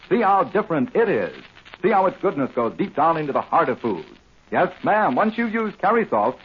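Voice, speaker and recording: Male 1940s American radio pitchman, highish husky voice, emphatic delivery, on an old dulled recording. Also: highs cut off. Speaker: announcer and sponsor pitchman.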